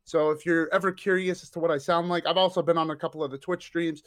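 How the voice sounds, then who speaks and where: A man appearing as a podcast guest, eager and excitable, rambling happily in casual chat. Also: a little high, fast.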